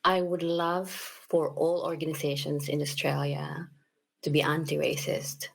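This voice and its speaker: A woman from the Philippines with a Filipino accent, answering earnestly and in a measured way as a panelist in a discussion. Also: deep voice, level tone, creaky, slightly rough.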